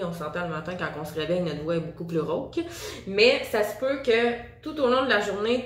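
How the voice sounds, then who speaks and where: A woman's voice: mid-range voice, fairly bright tone, echoey room. A woman with a Quebec French accent, a singing teacher and vocal coach, giving a chatty, upbeat video lesson straight to the viewer. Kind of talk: casual video lesson to camera.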